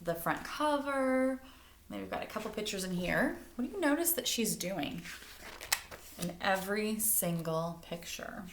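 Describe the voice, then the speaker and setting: A woman reading a picture book aloud like a teacher, expressively, pausing to explain things and put questions to young listeners. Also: medium pitch, lively intonation, background noise. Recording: roomy, echoing indoor sound.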